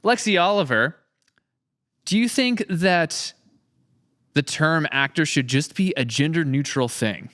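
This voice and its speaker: A man's voice: on the high side, lively intonation, measured pace, pauses often, fairly bright tone. A man hosting a casual podcast interview, chatty and wordy as he thinks aloud. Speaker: podcast host and series creator or director.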